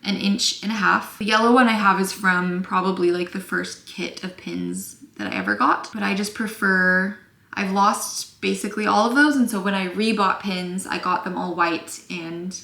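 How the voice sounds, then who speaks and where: A young woman teaching sewing to camera in a chatty, casual way, a little scratchy-voiced and quick to apologize. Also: mid-range voice, animated, gravelly, sharp tone. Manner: chatty, apologetic, lots of fillers. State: slightly scratchy voice. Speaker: sewing instructor. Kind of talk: casual tutorial talk to camera. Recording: echoey room.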